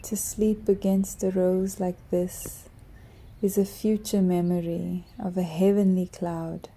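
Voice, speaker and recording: A woman narrating a poetic piece slowly and softly, sometimes dropping to a whisper. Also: fairly low pitch, little pitch movement. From South Africa. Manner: soft, hushed, drifting into whispers.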